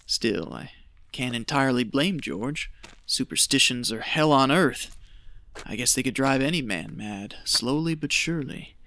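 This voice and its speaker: A man's voice: mid-range voice, expressive, a little slow, sharp tone. A man playing a stubborn, gold-hungry desert prospector with a folksy way of talking in an audio drama. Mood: resigned. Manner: folksy, muttering to himself when alone.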